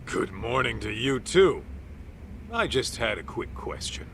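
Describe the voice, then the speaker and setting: A man's voice: big pitch swings, a little slow, vocal fry, fairly bright tone, a little hiss or hum, heavily acted. An actor playing an easygoing, tough male private detective in a game dub, talking in a casual, cocky, protective way. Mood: breezy questioning. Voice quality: low, sturdy voice. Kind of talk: English dub game cutscene acting.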